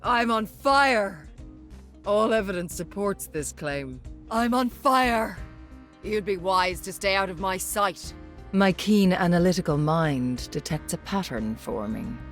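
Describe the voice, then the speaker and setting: A woman's voice: medium pitch, lively intonation, takes it slowly, faint music behind. An actress playing a haughty, brilliant, driven female scientist in a video game, speaking in a confident, lyrical and dryly witty way. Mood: smug, proud. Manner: well-spoken, lyrical, confident, dry. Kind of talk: video game hero voice lines.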